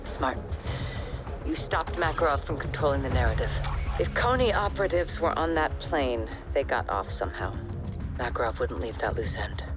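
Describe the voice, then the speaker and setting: A brisk intelligence officer. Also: female voice, a bass voice, lively intonation. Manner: brisk, matter-of-fact. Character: cool-headed female intelligence officer. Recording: game cutscene with music bed.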